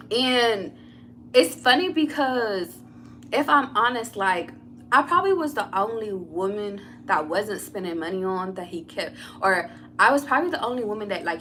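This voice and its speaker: A fairly high-voiced woman whose pitch swings widely as she talks. She is a tarot reader chatting with her viewers, blunt and candid, swearing freely. A faint hum of background noise sits under her voice. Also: fairly bright tone. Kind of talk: casual personal storytelling to viewers.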